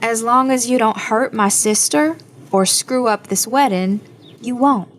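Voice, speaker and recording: A level-headed young woman played by an actress in an audio drama, with a fairly high voice and slow delivery. She is guarded, dry and firm.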